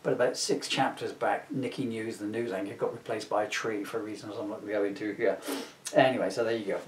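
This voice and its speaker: A middle-aged man narrating a comic storybook at home with casual asides, amused and expressive. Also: on the low side, fast talker, slight background noise. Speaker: author reading aloud. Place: small living room at home. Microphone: camera a few metres away. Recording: roomy, slightly distant camera mic.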